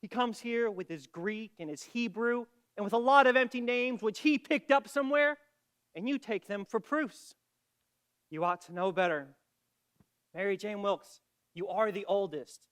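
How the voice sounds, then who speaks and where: A blunt country doctor in a period literary drama, fiercely loyal and full of indignation. The voice is high and bright, delivering forceful, scornful declamation. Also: male voice, normal speed, smooth. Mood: earnest warning. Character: indignant, blunt male small-town doctor, loyal family friend. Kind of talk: dramatic acting in a literary comedy.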